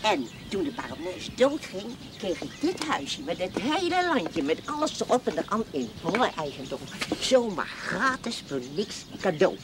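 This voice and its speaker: An actress playing a chatty, plain-spoken old spinster smallholder in a television period drama, folksy and wry. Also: female voice, medium pitch, big pitch swings, a little slow, hardly any pauses. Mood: reminiscing. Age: older. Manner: folksy, blunt, wry, talkative. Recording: noisy old TV soundtrack with farmyard birds.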